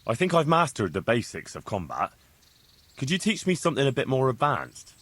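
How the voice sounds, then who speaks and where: A young man training as a medieval swordsman in a video game. He is eager and self-assured, with a medium-pitched voice that moves a lot in intonation. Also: huge pitch swings, brisk, a bit bright. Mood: confident, eager.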